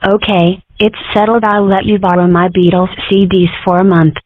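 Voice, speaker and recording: A woman's voice: mid-range voice, moderate pace, no room sound, close to an everyday voice. A woman voicing a spirited, sardonic young fox girl in an amateur comic dub. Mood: wry. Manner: sardonic and lively. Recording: dry, tinny phone-like recording.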